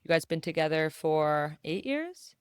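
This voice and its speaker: A young woman hosting a podcast, talking in a loose, rambling way full of "like" and "um" and the odd casual swear. Her voice is smooth and clear, recorded close and dry with no room sound. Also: low-pitched, sing-song, measured pace, dry recording. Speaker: podcast host.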